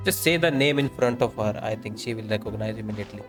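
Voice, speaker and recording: A man's voice: on the low side, quick pace, fairly bright tone. A man streaming a video game, giving casual, informal commentary in a teasing, joking way. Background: game music.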